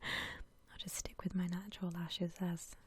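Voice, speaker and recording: A woman whispering softly and breathily in a gentle, unhurried ASMR voice-over, chatting casually. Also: fairly low pitch, flat delivery, husky. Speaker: ASMR video creator.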